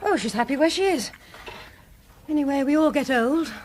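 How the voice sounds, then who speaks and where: A woman's voice: high-pitched, animated, normal speed, darkish tone, a little hiss or hum. An actress playing a cool, barbed woman in a radio drama, speaking in a pointed, sardonic way. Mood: cool, offhand. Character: cool, barbed woman, wary of an old friend.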